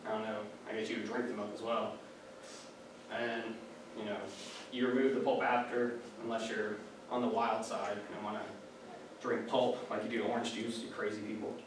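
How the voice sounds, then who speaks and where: A man's voice: lowish voice, flat delivery, moderate pace. Young guy chatting his way through a class talk, lots of ums, far off mic in a really echoey room with noise. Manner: casual, chatty, many fillers and asides. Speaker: student giving a class speech. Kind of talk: informal classroom presentation.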